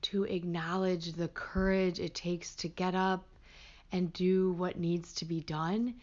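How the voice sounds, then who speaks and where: A woman leading a guided meditation as a teacher, gentle and hushed, with pauses between short phrases. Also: fairly low pitch, little pitch movement, highs cut off.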